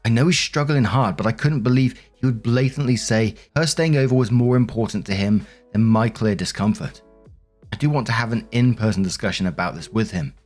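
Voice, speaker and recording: A man's voice: medium pitch, a little fast, darkish tone, soft background music. A man narrating online stories aloud as a host, with informal, conversational commentary and casual swearing.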